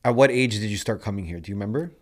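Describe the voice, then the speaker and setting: A man's voice: lowish voice, very fast, a little mellow. A man hosting a podcast, asking questions and backing up his guest in an easygoing way. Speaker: podcast host. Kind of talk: casual podcast interview.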